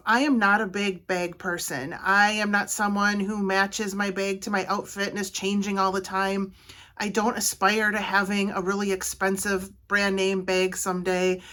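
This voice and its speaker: A woman's voice: medium pitch, fairly bright tone. A middle-aged woman from Wisconsin with a Wisconsin accent, giving practical travel advice to camera in a plainspoken, orderly way. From Wisconsin, United States. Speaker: travel vlogger. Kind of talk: casual advice talk to camera.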